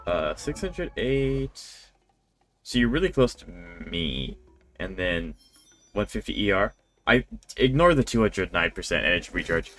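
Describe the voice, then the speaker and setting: Male game streamer, lowish voice, loose rambling chat, music playing behind. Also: very animated, a little slow, fairly bright tone. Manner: rambling, conversational. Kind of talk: casual livestream chat. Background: video game music.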